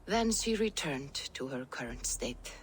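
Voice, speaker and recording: A calm Norse seeress for a video game, reverent and reassuring. Her low voice moves slowly and ceremonially, at times hushed. Light ambient noise sits behind her. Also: exaggerated voice. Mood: calm, mysterious. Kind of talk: dramatic video game acting.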